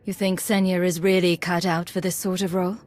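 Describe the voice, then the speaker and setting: An actress playing a measured, doubtful female space crew member in a video game. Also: on the low side, little pitch movement, a little mellow, lightly acted. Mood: wary.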